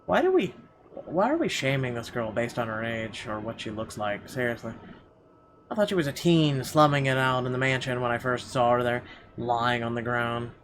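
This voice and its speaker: Casting: male game streamer, mid-pitched, halting read-aloud narration with casual asides, clearly noisy recording. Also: expressive. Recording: clearly audible background noise.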